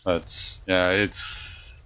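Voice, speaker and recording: French male streamer, low bright voice, unhurried and halting, casual chat. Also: flat delivery, smooth. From France. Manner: halting, with frequent restarts.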